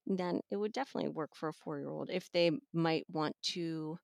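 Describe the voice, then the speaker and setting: A woman's voice: deep voice, fairly quick, vocal fry, darkish tone, no room sound, clean studio sound. A middle-aged woman talking casually as an audiobook reviewer.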